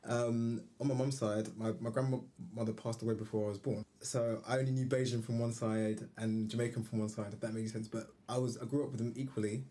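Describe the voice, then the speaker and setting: A man's voice: medium pitch, level tone, a little fast, few pauses, dark tone. A man with a mid-range voice chats on a podcast. He thinks aloud, restarts his sentences and works his way to a point. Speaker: podcast guest. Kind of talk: casual conversation.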